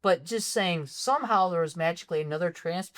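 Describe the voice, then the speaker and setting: A woman co-hosting a film podcast, ranting in exasperation about a movie's plot holes, her voice going a bit raspy. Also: on the low side, a little fast, no echo, clean studio sound. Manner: exasperated, ranting, animated complaints. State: voice getting raspy. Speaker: podcast co-host. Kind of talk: casual film critique chat.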